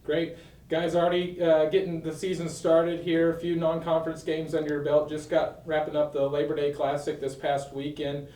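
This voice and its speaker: Male sports media host. Slightly high, soft-edged voice, conversational questions with frequent restarts. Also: flat delivery, moderate pace, rough recording. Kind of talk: sports interview hosting.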